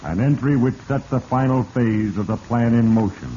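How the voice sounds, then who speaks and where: An actor playing Fate, an all-knowing, stern spirit who narrates with deliberate accusation in a 1940s radio drama. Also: male voice, mid-range voice, warm tone, natural voice. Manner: deliberate, accusing second-person address. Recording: dull, noisy vintage radio recording.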